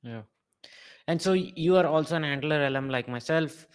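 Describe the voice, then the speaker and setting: A man's voice: fairly high pitch, moderate pace, smooth. A man hosting a podcast interview, asking questions and giving brief attentive backchannels. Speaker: podcast host.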